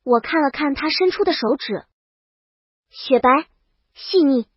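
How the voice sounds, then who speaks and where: A woman with a notably high voice narrates a scripted story in the first person. The recording is very dry and somewhat dull, like a lo-fi voice track. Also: lively intonation, unhurried, no room sound. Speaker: audiobook narrator.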